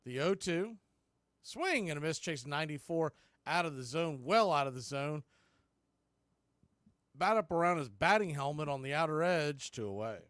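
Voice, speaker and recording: A man's voice: a little high, expressive, moderate pace, long pauses, a bit bright, no room sound, clean studio sound. A man doing radio baseball play-by-play as the announcer, speaking in short, clipped phrases.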